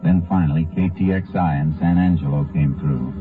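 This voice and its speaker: Very deep, terse Texas Ranger. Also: male voice, fairly even intonation, normal speed. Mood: grave narration. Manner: terse, commanding, clipped questioning. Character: tough, terse Texas Ranger investigating a killing. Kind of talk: 1950s radio drama acting and first-person narration. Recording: muffled vintage 1950 radio recording, music behind.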